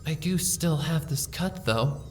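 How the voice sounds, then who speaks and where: A woman voicing a timid, sick young female pony who speaks softly and stammers as she pleads. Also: low voice, expressive, unhurried, distant mic, faint music behind. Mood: timid, shaky. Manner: soft, hesitant, stammering, pleading. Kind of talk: fan audiobook reading.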